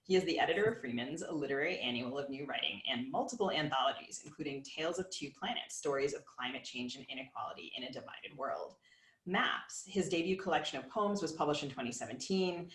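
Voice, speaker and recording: A woman's voice: low-pitched, quick pace, a bit bright, roomy sound, rough recording. A woman co-hosting a podcast, articulate and wry as she introduces and questions guests. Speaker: podcast co-host, novelist.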